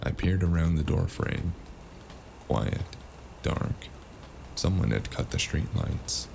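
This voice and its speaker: A man playing a weary, wry noir detective in an audio drama, speaking tersely with a gravelly voice. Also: low voice, lively intonation, takes it slowly, background noise, full character voice. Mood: tense, grim. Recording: music under the voice.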